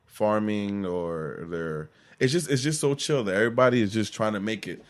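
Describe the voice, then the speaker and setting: A man from Nigeria co-hosts a podcast in casual chat. His voice is low-pitched, and he jokes and teases. He often stumbles and repeats words as he starts a thought. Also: animated, normal speed. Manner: joking, teasing, repeats words when he starts. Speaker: podcast co-host.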